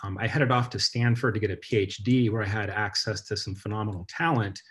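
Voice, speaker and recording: Man, guest author on a video call, low voice, measured and earnest storytelling. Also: fairly even intonation, fairly bright tone.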